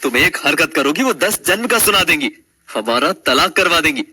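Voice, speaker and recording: A man playing a young husband in a family story, caring yet exasperated, emphatic and dramatic, with a husky voice. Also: a little high, lively intonation, moderate pace, pushed and bright.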